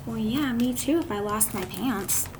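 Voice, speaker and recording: A woman reading a picture book aloud to children, expressive and drawn out, with chatty asides to her listeners. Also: a little high, measured pace. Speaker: read-aloud storyteller. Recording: clearly noisy amateur recording.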